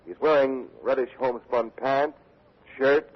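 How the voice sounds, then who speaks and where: An actor playing a brisk radio correspondent, formal in his reporting and dry in his questions, in 1940s drama style. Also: medium pitch, steady tone, close to an everyday voice. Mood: brisk, serious. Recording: muffled old 1940s radio recording.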